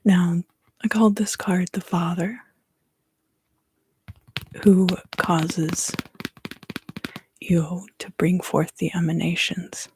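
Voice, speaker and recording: Female ASMR card reader, hushed and unhurried, low-pitched with creaky, breathy texture, frequent pauses. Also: little pitch movement, no echo. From US East Coast South. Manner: soft, unhurried, pausing often. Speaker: tarot reader and ASMR creator. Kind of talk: whispered ASMR card reading.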